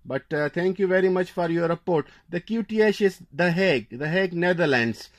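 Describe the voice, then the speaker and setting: A man's voice: high voice, brisk. A man working as an amateur radio operator in a contact exchange, repeating phrases and call signs. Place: indoor radio desk.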